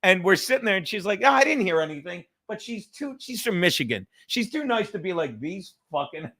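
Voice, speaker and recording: Male livestream host, bright forceful voice, casual chat, rambling, self-deprecating, profane. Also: high-pitched, very animated, a little fast.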